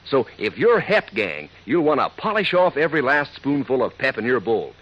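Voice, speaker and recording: A folksy male announcer pitches to young listeners in 1940s radio ad style. His voice is husky and swings widely in pitch, on a dull, old broadcast recording. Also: big pitch swings, normal speed. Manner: chummy, folksy, emphatic. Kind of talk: 1940s radio cereal advertisement.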